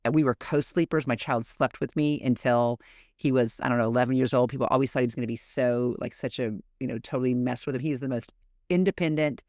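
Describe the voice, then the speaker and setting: A woman's voice: rumbling low, steady tone, fast, darkish tone, dry recording, clean studio sound. A woman and mother co-hosting a podcast in casual chat, frank and blunt, laughing easily. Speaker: podcast co-host.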